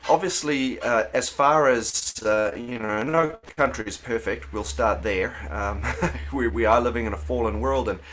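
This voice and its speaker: A middle-aged South African pastor, talking in a relaxed interview, thoughtful and pausing with fillers and restarts. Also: male voice, mid-range voice. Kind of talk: casual interview conversation.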